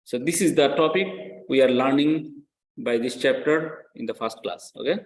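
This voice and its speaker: A male lecturer with a mid-pitched voice, recorded in an echoey room. He is a non-native English speaker who explains step by step, repeating his phrases and tagging them with short check questions to his students. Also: moderate pace. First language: not English. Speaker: accounting lecturer.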